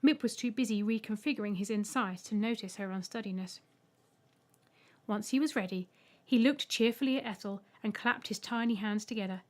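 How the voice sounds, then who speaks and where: A woman's voice: medium pitch, a little fast, a little mellow. A woman reading a scripted short story aloud as an audiobook narrator.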